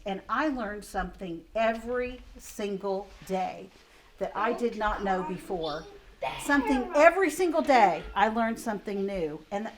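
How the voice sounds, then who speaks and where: A woman reading specialist chatting patiently with second graders in a classroom, asking questions about a picture book. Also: medium pitch. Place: elementary school classroom.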